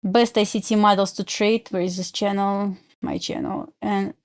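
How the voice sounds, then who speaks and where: A woman's voice: mid-range voice, steady tone, normal speed, dry recording, clean studio sound. Bubbly woman. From Belarus. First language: Russian. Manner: bubbly and giggly, with loose non-native grammar. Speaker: futures day trader streaming live. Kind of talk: casual live stream chat.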